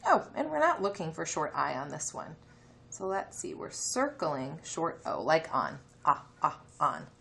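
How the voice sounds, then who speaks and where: Animated, low-pitched woman teaching reading. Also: measured pace, gravelly, slight background noise. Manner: instructional, sounds out letters and words for children. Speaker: elementary teacher. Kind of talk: reading lesson with a passage read aloud.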